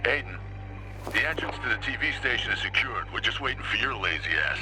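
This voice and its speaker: An actor playing an impatient, gruff male squad mate in a video game, terse and pressing over a radio. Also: medium pitch, sing-song, brisk, loud music behind the voice, poor audio.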